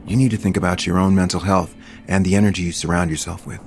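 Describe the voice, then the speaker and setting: Tender spiritual lover, low voice, intimate, earnest, soothing, audio soap opera. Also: male voice, fairly even intonation, quick pace, a little mellow, soft background music.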